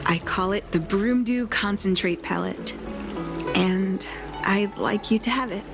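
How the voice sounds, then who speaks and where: Game healer, acted by a woman: gentle, polite, formal delivery, medium pitch. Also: unhurried, music in the background, lightly acted. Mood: gracious. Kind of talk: video game character acting.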